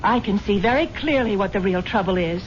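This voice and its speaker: An actress playing a naive, wealthy wife who talks sweetly and gushingly and flares up into indignation, in a 1970s radio drama. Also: female voice, mid-range voice, lively intonation, brisk, lightly acted. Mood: indignant. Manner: gushing and breathy-sweet, prone to sudden indignation. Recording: muffled old radio broadcast with hiss.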